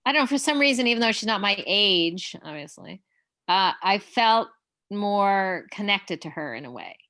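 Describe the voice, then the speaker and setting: A middle-aged woman talks about her writing in a chatty, halting way, laughing often. Her voice is bright and forceful. The sound is dry and close, with little room. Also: medium pitch, animated, dry recording. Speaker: playwright. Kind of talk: casual conversation.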